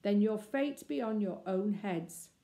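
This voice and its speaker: A woman's voice: medium pitch, a little slow, mellow, close to an everyday voice. A woman voicing a formal, threatening male herald of a medieval army, proclaiming ringingly in a children's storybook. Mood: commanding. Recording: echoey room.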